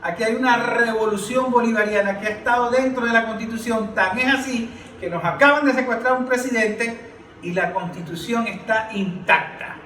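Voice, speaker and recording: High-pitched man, emphatic political commentator. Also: normal speed, sharp tone, reverberant, distant mic, a little hiss or hum, low-quality recording. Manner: emphatic, repetitive, declamatory. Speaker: political panelist on a podcast.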